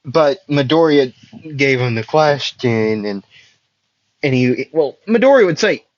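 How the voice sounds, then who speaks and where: Young guy rambling slowly thru a fan story, lots of uhs and laughs, kinda dull dry mic. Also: medium pitch, animated. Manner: rambling, laughs often, many fillers. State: losing his voice. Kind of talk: casual spoken fan story narration.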